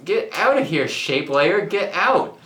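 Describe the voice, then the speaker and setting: Young male tutorial co-host, bright and punchy, goofy asides, echoey small studio. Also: on the high side, very animated, slow. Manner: jokey, self-mocking, talks through steps. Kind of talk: casual software tutorial with banter. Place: small home recording studio.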